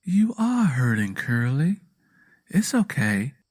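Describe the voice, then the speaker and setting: A man voicing an earnest, compassionate Southern woman in an audio drama, speaking softly and persistently. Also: mid-range voice, big pitch swings, takes it slowly. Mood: gentle, concerned. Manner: soft, pleading, sweet.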